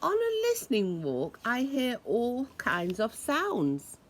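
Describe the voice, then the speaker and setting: A woman reading a children's story aloud in a deliberate, expressive way, imitating the sounds it describes. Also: fairly high pitch, a little hiss or hum. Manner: deliberate, acts out noises expressively.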